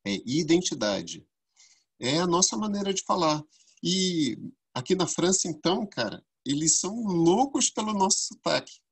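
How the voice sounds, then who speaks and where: A man from Brazil, co-hosting a casual podcast and telling animated stories that jump high when he acts out quotes. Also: moderate pace, slightly rough. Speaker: podcast co-host.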